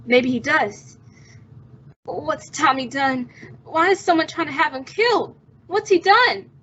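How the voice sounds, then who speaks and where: A woman acts a worried young heroine in a radio melodrama. The voice is high, earnest and full of feeling. The recording is slightly dull and muffled. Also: measured pace, sharp tone. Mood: distressed. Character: anxious, devoted young woman protecting her fugitive brother. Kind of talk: old-time radio melodrama acting.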